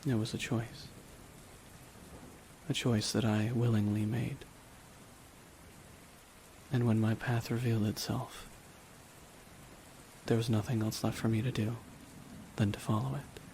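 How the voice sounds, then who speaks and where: Man acting a brooding supervillain, very slow delivery, hushed and deliberate, long pauses, dramatic ASMR monologue, rain in the background. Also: on the low side, gravelly, rough recording. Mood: solemn, reflective. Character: brooding, remorseless male villain at his dying nemesis's side. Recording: steady rain noise behind the voice. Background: rain falling on a surface.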